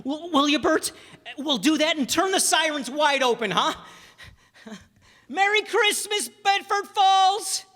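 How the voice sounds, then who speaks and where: A man's voice: very high voice, lively intonation, unhurried, bright, forceful tone, full character voice. An actor in a radio play as an emotional family man, stammering and impassioned. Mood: overjoyed. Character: desperate, emotional young family man, pleading then overjoyed. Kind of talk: live radio play acting. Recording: stage hall with long reverb.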